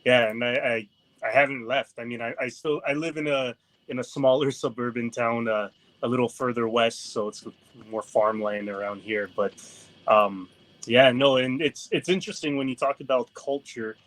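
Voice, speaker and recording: A man's voice: mid-range voice, moderate pace, a bit bright. Hesitant man from the Toronto area. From Toronto area, Canada. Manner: hesitant, filler-heavy, thoughtful. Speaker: podcast guest. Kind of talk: casual conversation.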